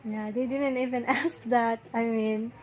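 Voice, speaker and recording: A woman from the Philippines with a Filipino accent, telling a story in a casual, chatty, animated and giggly way. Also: a little high, measured pace. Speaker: vlogger recounting her visa experience. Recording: faint background noise.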